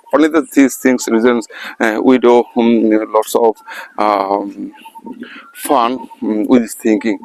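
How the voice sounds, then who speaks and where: A man from India with an Indian English accent, speaking English as a second language in a halting, repetitive motivational monologue to camera, filmed at a calm, quiet spot. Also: medium pitch, no echo, low-quality recording. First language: not English. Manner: halting, repetitive non-native phrasing. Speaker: English learner vlogger.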